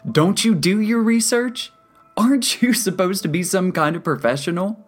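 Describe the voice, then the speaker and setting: A woman's voice: deep voice, very animated, measured pace, faint music behind, voice pushed up, exaggerated voice. A woman voicing an angry, brash young male patient in an audiobook, indignant and emphatic. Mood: outraged, profane.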